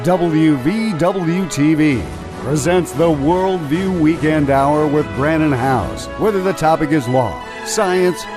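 A man announcing a show intro in a brisk, scripted delivery. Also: a little high, over loud music.

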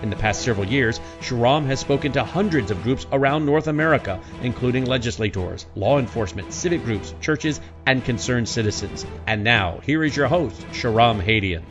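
A man's voice: mid-range voice, expressive, fairly quick, hardly any pauses. Male announcer, formal scripted intro, dull lo-fi sound, music underneath. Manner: smooth, formal announcer read. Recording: dull lo-fi audio over music.

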